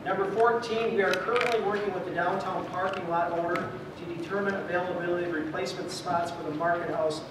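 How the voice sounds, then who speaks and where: A man's voice: high-pitched, steady tone, quick pace, few pauses. A man moderating a public meeting, reading out points and pressing on in an insistent way. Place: indoor theatre at community meeting. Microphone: distant camera microphone. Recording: very echoey theatre hall, distant, noisy.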